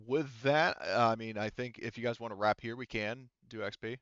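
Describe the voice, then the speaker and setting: Male game master, smooth voice, informal and profane, close dry sound, slightly muffled. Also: mid-range voice, fairly even intonation, moderate pace, fairly bright tone, dry recording. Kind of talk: casual talk running a tabletop sci-fi game.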